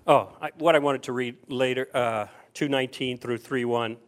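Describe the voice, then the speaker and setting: A man's voice: medium pitch, steady tone, normal speed. A man preaching a conversational sermon, putting rhetorical questions to his listeners and repeating phrases for emphasis. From the South (southern US). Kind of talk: sermon with scripture reading.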